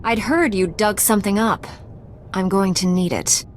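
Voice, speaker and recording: A woman playing a calm, terse Jedi. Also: medium pitch, moderate pace, slight background noise, lightly acted. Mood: resolute. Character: calm female Jedi knight. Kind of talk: game cutscene acting.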